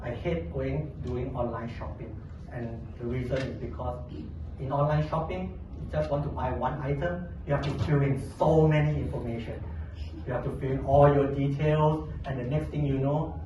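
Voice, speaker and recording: A man from Thailand, giving a humorous contest speech with playful, deadpan storytelling. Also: mid-range voice, normal speed, highs cut off. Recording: echoey hall, distant mic, audience noise.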